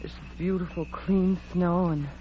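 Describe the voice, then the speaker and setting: A woman's voice: fairly low pitch, steady tone, a little slow, natural voice. An actress playing a sensible, prim, easily exasperated young woman in a 1940s radio drama. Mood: chiding. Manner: proper, matter-of-fact, chiding. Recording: muffled, noisy old radio recording.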